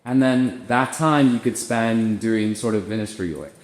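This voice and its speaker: A young man hosting a podcast, thinking aloud in relaxed casual chat with lots of fillers. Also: lowish voice, unhurried. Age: young adult. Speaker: podcast host. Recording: echoey room with long reverb.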